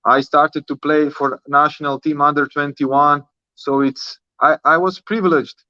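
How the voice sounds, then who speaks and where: Higher-voiced Croatian man, roomy sound. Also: steady tone, bright, forceful tone. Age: early 30s. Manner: halting, repeats and rephrases in non-native English. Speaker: guest, professional footballer. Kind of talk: casual podcast interview. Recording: roomy, slightly dull sound.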